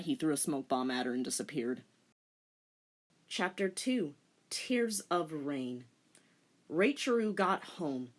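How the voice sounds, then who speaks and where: A woman narrating a comic dramatic reading with teasing, theatrical commentary. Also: lowish voice.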